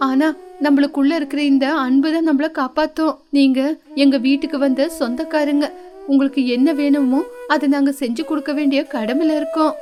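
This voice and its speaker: Woman doing a sweet poor crow mom in a kids cartoon, high voice, gentle and caring. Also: natural voice. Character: kind, humble poor mother crow, caring and forgiving. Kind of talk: children's moral story cartoon voice acting.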